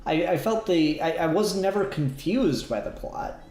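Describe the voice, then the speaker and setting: A man giving a casual review as a podcast co-host, speaking in halting, searching phrases. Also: on the high side, lively intonation, darkish tone. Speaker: podcast co-host reviewing a fan audio drama. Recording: echoey room sound.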